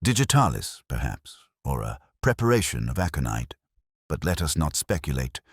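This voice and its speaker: A man performs a brilliant detective in an audiobook mystery, with a very deep voice and animated intonation. He is formal, commanding and incisive, setting out each deduction with deliberate precision. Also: creaky, slightly rough, dry recording, clean studio sound, full character voice. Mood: measured, authoritative.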